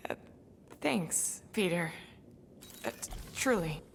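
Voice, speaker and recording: Guarded alien ex-assassin lady for a video game, low creaky voice, kinda halting and wistful, bit of bg noise. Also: animated, full character voice. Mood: sorrowful, vulnerable. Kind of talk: cinematic video game acting.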